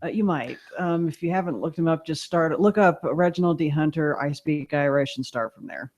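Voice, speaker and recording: A man's voice: a little high, fairly quick, a little mellow. A man co-hosting a podcast in a laid-back, agreeable, casual conversational style. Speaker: podcast co-host. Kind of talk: casual chat.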